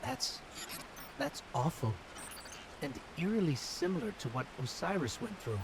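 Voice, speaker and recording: A man's voice: on the high side, big pitch swings, unhurried, noisy background. A man playing a small, caring robot companion in a video game, earnest and concerned. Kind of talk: scripted video game voice acting.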